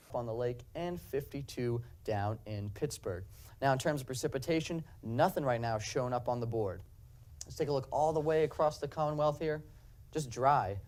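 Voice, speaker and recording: A man's voice: mid-range voice, darkish tone. A young man delivering a broadcast weather forecast in an upbeat presenter style, walking viewers through the map. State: slightly hoarse.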